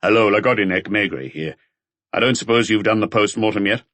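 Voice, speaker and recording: Man voicing a low, brooding police detective. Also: fairly quick, a bit bright, dry recording, natural voice. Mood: businesslike. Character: brooding, plain-spoken male police detective, middle-aged.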